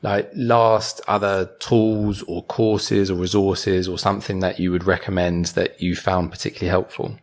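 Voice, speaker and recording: Deep-voiced, hesitant interview host. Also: flat delivery. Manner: hesitant, halting questions. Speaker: podcast host. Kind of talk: interview questions.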